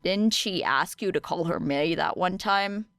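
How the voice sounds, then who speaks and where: Brief: woman narrator as a sullen, deadpan schoolboy, lowish and clear, for audiobook dialogue. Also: measured pace, dry recording, clean studio sound. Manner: deadpan, grumbling. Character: grumpy, deadpan, sardonic teenage boy student.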